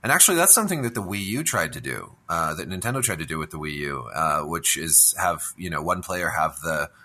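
A man with a deep voice that still has a bright, forward edge. He chats casually as a podcast co-host, musing and feeling his way through each thought. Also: big pitch swings, brisk, slight background noise. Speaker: podcast co-host discussing video games. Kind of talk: casual chat.

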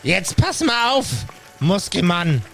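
A man's voice: high voice, sing-song, slow pace, gravelly, a bit bright, soft background music, heavily acted. A man voicing a blunt, bossy satyr brute, cynical and menacing, in an improvised fantasy audio play. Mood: threatening.

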